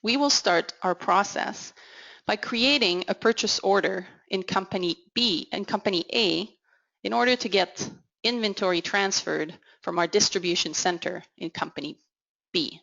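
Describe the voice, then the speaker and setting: A woman giving an instructional software walkthrough as a consultant, in a measured, explanatory way. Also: mid-range voice, creaky. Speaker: technical consultant presenting a software demo. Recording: dull, lo-fi audio. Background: voice-over for a screen recording.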